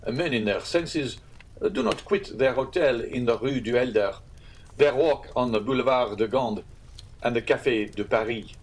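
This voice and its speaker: A man voicing a flippant, sceptical young gentleman in a storybook, with a dry, teasing manner. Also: mid-range voice, moderate pace, close to an everyday voice.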